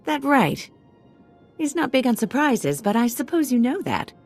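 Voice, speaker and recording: A woman's voice: a little high, big pitch swings, moderate pace, a little mellow, faint music behind. A woman playing a polite but guarded wife in a video game. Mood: courteous. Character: polite, wary wife of a crime supplier. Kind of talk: video game dialogue acting.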